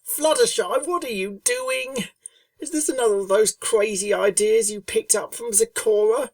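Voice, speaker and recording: A woman voicing a brash, impatient young female pegasus pony in a storybook reading, talking bluntly and loudly. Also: fairly high pitch, expressive, moderate pace, no echo, recorded from a distance, voice pushed up. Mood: puzzled. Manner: blunt, exasperated, loud.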